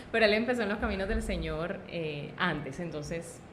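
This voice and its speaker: Cheerful young woman with coastal Colombian accent. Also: mid-range voice. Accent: Colombian Caribbean coast. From born in Antioquia, raised in Barranquilla, Colombia. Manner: cheerful, laughs easily. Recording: audible background noise.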